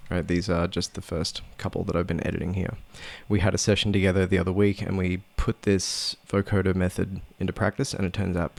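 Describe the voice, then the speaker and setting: A man narrating a casual software tutorial in a low-key, matter-of-fact way, like a sound designer explaining his process. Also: vocal fry.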